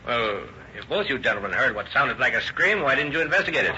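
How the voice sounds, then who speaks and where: A methodical police lieutenant with a mid-pitched, very forceful, bright voice. He sounds authoritative and probing, on a dull, hissy vintage radio recording. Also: big pitch swings, fast talker. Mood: businesslike. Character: brisk, methodical male police lieutenant detective. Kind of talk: 1930s radio drama acting.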